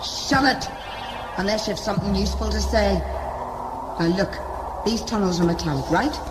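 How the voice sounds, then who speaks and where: A woman's voice: medium pitch, moderate pace, heavy background noise, lightly acted. A woman acting in an audio drama as the stern, analytical leader of a convict search party, with a curt, cutting manner. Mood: irritated, commanding. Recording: echoey with music underneath.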